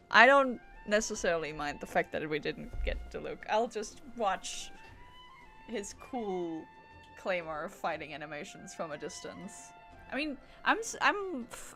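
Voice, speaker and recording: A woman's voice: fairly low pitch, fairly bright tone. A woman streamer giving casual live gameplay commentary in a playful, rambling way. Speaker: game streamer. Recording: game music behind the voice.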